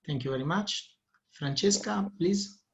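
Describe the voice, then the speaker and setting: A man moderating an online debate, explaining the schedule with many pauses and fillers. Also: on the high side. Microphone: video call. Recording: lo-fi video call audio.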